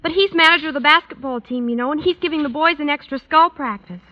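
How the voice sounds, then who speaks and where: High-voiced schoolgirl played by a woman, muffled radio. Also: fast talker, lightly acted. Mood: chatty. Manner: girlish, gushing, coyly superior. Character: prim, self-assured teenage high school girl. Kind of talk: 1940s-50s radio sitcom acting. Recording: muffled old radio recording.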